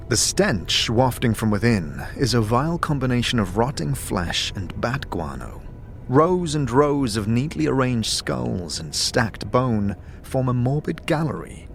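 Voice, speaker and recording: A man giving measured, atmospheric second-person narration of a fantasy story as game master. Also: medium pitch, vocal fry.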